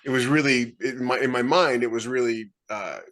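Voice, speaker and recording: A man's voice: medium pitch, moderate pace, fairly bright tone. A middle-aged man, a podcast co-host and author, chatting casually on a video call from home with loose, trailing asides. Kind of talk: casual chat. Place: plain small room at home.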